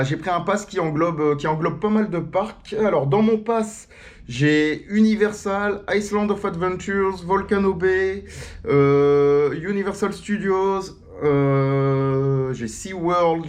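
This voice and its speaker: A man's voice: a little high, takes it slowly. Slangy, joking man chatting to viewers. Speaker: theme-park YouTuber answering viewer questions. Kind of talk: casual chat, FAQ to camera.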